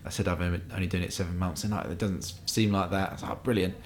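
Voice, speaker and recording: A man's voice: low-pitched, steady tone, racing, slight background noise. A man, a stand-up comedian, chatting casually with short anecdotes. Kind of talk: casual chat.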